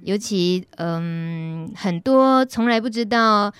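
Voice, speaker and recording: A woman's voice: medium pitch, takes it slowly, clean tone. A woman with a Taiwanese Mandarin accent, hosting a live talk show and explaining things chattily, straight to her listeners. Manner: chatty, addresses listeners directly. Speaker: radio host.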